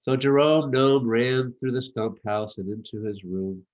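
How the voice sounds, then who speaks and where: A man narrates a children's story at a slow pace. His delivery is measured and expressive, like an author reading his own picture book. The sound is a little dull and lo-fi. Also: mid-range voice.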